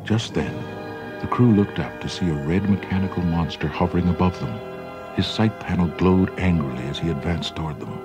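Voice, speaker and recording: A man's voice: sits low, brisk. A man narrating a children's read-along story from a script. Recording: band-limited old record, music underneath.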